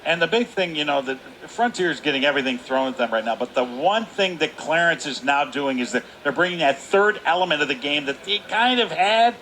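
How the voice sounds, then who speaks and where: Male color commentator, forceful bright voice, explanatory and conversational, light rink ambience behind. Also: on the high side, animated, brisk, hardly any pauses. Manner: explanatory, conversational full sentences. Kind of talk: live sports analysis. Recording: noisy live rink recording.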